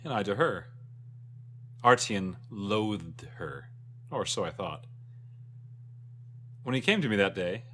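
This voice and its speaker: A man voicing an ageless, wistful immortal who tells a first-person fantasy tale in a solemn, measured way. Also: on the low side, pushed and bright, exaggerated voice. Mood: sorrowful, grave. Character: ageless, wistful immortal recounting an old jealous friendship.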